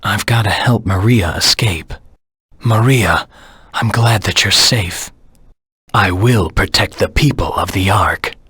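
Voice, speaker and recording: A husky, low, brooding video game anti-hero. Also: male voice, a bit bright, no echo, clean studio sound, exaggerated voice. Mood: resolute. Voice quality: husky, gravelly. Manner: terse, serious, deliberate self-talk. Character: Shadow, brooding male anti-hero hedgehog, cold and determined. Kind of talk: video game character voice lines.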